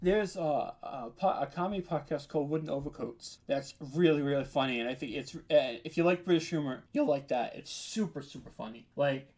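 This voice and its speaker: Higher-voiced man chatting casually. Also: brisk, hardly any pauses, darkish tone. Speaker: podcast creator being interviewed. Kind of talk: casual chat.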